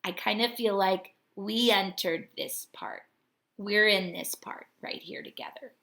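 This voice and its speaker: A woman teacher reading a storybook aloud and talking to her students in an expressive, deliberate way. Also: medium pitch. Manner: expressive, deliberate, addressing children directly.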